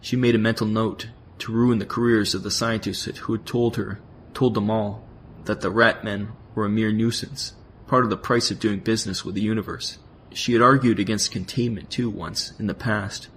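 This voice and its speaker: A man narrates a science-fiction audio drama in a fairly low voice. He reads scripted prose, with faint noise and ambience behind him. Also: steady tone, moderate pace, fairly bright tone.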